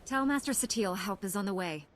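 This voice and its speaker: Actress as a confident Jedi knight heroine. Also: female voice, medium pitch, animated, fairly quick, very noisy, close to an everyday voice. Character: confident, capable young female Jedi knight hero. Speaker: player hero character in a video game. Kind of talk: scripted game dialogue.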